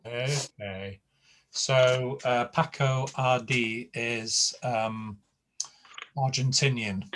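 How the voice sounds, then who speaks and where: A man running a class over a video call speaks, unhurried and full of ums. His pitch sits in the middle, and his pace is very slow. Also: fairly even intonation, a crowd in the background. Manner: slow, hesitant, filler-heavy. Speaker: teacher. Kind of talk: online class.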